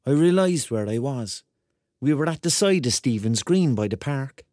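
Animated Cork storyteller, played by an actor. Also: male voice, mid-range voice, moderate pace, dry recording, clean studio sound, close to an everyday voice. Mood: wry storytelling. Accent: Cork Irish. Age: 30s. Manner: colloquial, conversational storytelling. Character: rambling, excitable thirty-year-old Corkman on a surreal night out. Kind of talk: radio drama acting, first-person narration and dialogue.

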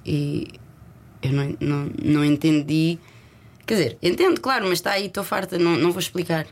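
A woman from Lisbon with a Lisbon Portuguese accent, speaking reflectively and haltingly as a guest in a candid interview, sometimes dropping in English words. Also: low voice.

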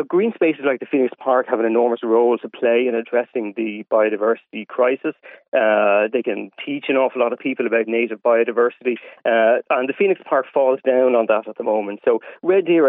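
An Irish man talks in a measured, hesitant way, with many fillers, as a guest on a radio show. His voice comes down a tinny phone line, narrow and lo-fi. The sound is dry, with no room around it. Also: mid-range voice, no room sound. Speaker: wildlife campaigner, radio guest.

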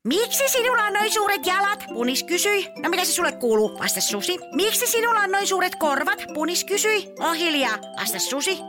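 A woman's voice: extremely high pitch, sing-song, hardly any pauses, music in the background. A woman voicing a cheeky, bratty little kid who mocks and interrupts a fairy tale in slangy speech for children's comedy. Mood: smug, cheeky.

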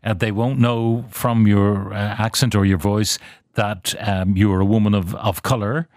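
Male presenter, lowish voice, measured questions, dry studio sound. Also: level tone, darkish tone, no echo. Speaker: radio presenter. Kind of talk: radio interview.

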